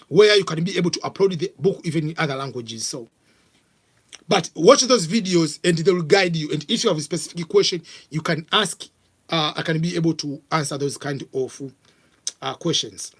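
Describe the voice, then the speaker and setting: A middle-aged man from Tanzania with a Tanzanian accent, hosting a live vlog and answering viewer questions in a casual, halting way full of fillers. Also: a little high, husky. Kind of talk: live Q&A chat.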